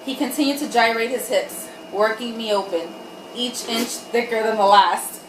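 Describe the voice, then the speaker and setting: A woman's voice: a little high, moderate pace. A tipsy woman giving an irreverent, giggly dramatic reading, hosting for a group and breaking off for asides. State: tipsy from wine. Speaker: reader and host of a comic group reading. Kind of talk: dramatic reading of erotic fiction with joking asides. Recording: roomy, distant mic, faint background noise.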